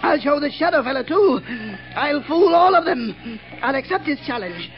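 An actor playing a deranged, crowd-hating killer in an old radio drama, muttering and repeating himself in agitation. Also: male voice, very high-pitched, lively intonation, moderate pace. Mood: brooding, defiant. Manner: fretful, repetitive, agitated muttering. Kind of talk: 1940s radio drama acting. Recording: dull, muffled old radio recording.

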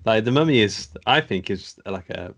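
A man's voice: on the low side, expressive, normal speed, a bit bright, dull audio. A man co-hosting a podcast, reading out reviews with a wry, laughing delivery. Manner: wry, laughing at what he reads. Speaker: podcast co-host.

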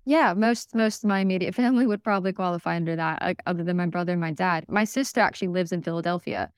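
A woman's voice: lowish voice, fast talker, clear, resonant tone, dry recording, studio quality. A young American woman, a podcast guest, talking enthusiastically in a casual interview, with lots of fillers and restarts. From United States.

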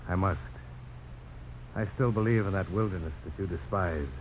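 An actor playing a grim, resolute frontiersman in 1950s American radio drama, with terse, deliberate speech. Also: low-pitched, natural voice. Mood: solemn, determined. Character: grim, resolute frontiersman duelist, laconic and steady. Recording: muffled, noisy old radio broadcast.

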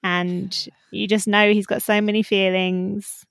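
A woman's voice: medium pitch, flat delivery, measured pace, no echo, clean studio sound. A woman chatting casually as a co-host, opinionated and teasing. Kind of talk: casual panel chat.

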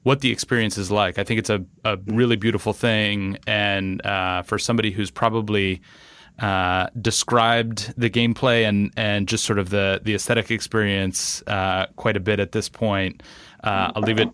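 A man hosting a conversational interview, thinking aloud with many pauses and fillers. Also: lowish voice, level tone, a bit bright, smooth, dry recording. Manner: thoughtful, hesitant phrasing with many fillers. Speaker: podcast host.

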